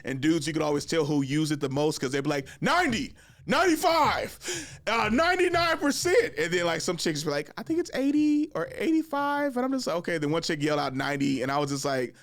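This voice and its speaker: A man in his thirties hosting a casual chat, telling stories in a joking, slangy way, often laughing and jumping high in pitch when excited. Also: fairly quick, no room sound, clean studio sound. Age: 30s. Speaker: podcast host.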